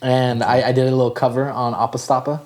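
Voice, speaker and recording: A man's voice: medium pitch, little pitch movement, fast, darkish tone. A young man co-hosting a podcast in casual chat, laid-back and rambling, full of "like" fillers. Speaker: podcast co-host.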